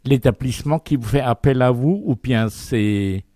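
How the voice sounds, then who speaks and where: A man's voice: mid-range voice, normal speed, dark tone, no room sound, clean studio sound. A man hosting a conversational interview, putting questions to his guest. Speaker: interviewer, programme host. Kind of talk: conversational radio-style interview.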